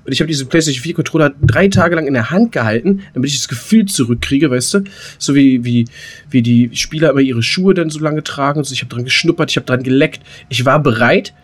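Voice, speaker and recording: A man's voice: medium pitch, fast talker, slightly rough. A man co-hosting a podcast, chatting colloquially and telling things in a playful way. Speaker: podcast co-host. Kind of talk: casual podcast chat.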